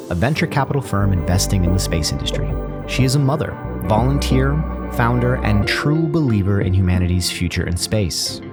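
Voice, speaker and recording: A man reading a scripted show intro slowly and with emphasis. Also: on the low side. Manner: slow, emphatic delivery. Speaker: show announcer. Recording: voice over loud music.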